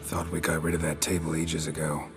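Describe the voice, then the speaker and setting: An actor playing a weary, dry-witted monster hunter in a video game, laconic and deadpan. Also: male voice, rumbling low, fairly quick, gravelly.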